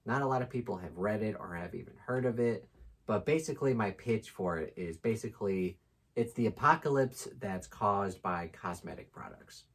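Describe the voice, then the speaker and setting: Male co-host, low slightly rough voice, relaxed unscripted book chat, jokey asides. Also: normal speed.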